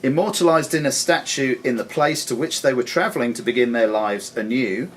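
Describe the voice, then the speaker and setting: A man acting as quizmaster, reading questions aloud in a deliberate, formal announcing way in a club room. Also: mid-range voice. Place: sports and social club room. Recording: noisy live recording in club room.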